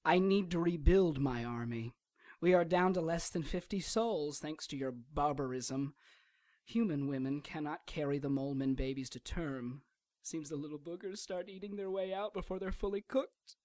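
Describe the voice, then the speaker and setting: An actor playing a sinister, gloating troll villain in a radio drama, mocking and courteous with drawn-out menace. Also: mid-range voice, darkish tone, close to an everyday voice. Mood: smug scheming.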